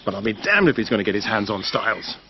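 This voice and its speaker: An actor playing a hard-up, aggrieved middle-aged gentleman in a period radio drama, with an upper-class British accent and a clipped manner. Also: fairly low pitch, very animated, fast, muffled recording. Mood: exasperated.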